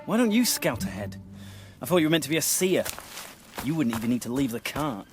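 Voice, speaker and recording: A man's voice: mid-range voice, very animated, a little fast, natural voice. A man voicing a sarcastic young hero in a game, with a wry, offhand manner. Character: sarcastic young male hero driving a cart. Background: music.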